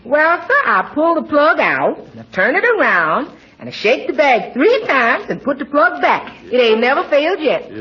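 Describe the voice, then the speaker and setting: An actress playing a cheerful, plain-spoken housekeeper with folksy grammar and a confident manner in 1940s radio comedy. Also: female voice, high-pitched, big pitch swings, normal speed. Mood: folksy, sure. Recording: muffled, hissy 1940s radio recording.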